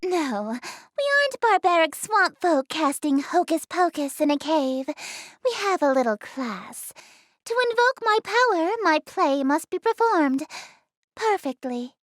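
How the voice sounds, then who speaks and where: A woman's voice: very high-pitched, sing-song, a little slow, fairly bright tone, no room sound, exaggerated voice. A woman voicing a haughty, easily flustered eldritch goddess in an anime-style game, speaking in a theatrical, formal, imperious way. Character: haughty, easily flustered regal eldritch goddess, cute young-sounding female. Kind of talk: anime-style visual novel game voice acting.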